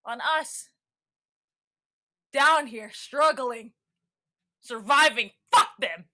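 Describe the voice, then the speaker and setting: A woman acting a bitter, defiant prisoner in a theatrical staged reading, profane and impassioned, swinging from muttering to shouting. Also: a little high, drawn-out words, exaggerated voice. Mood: anguished, bitter. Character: bitter, defiant imprisoned woman facing the end of the world.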